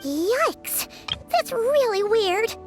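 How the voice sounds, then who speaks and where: A woman voicing a tiny, childlike fairy companion in a video game, excitable, blunt and chatty, full of exclamations. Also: very high voice, very slow, over music, full character voice. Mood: curious. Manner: exclaiming, chatty, refers to herself by name.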